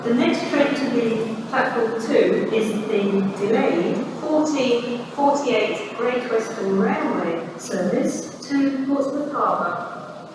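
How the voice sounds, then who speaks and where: A woman's voice: a little high, expressive, slow. A British woman making formal, automated railway announcements in pieced-together scripted phrases over a station public address. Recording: echoey noisy station speakers from far off.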